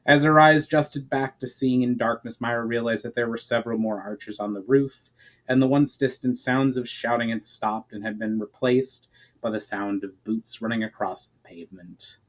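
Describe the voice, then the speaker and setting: A man's voice: medium pitch, steady tone, moderate pace, fairly bright tone. A man reading his own fantasy story aloud as a dramatic narration.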